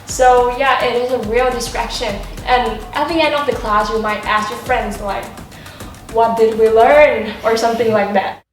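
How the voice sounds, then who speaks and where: A teenage girl speaks casually on a student discussion panel, relaxed and full of fillers. Her voice sits slightly high. She is recorded from a distance in an echoey room with faint music underneath. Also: normal speed. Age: teens.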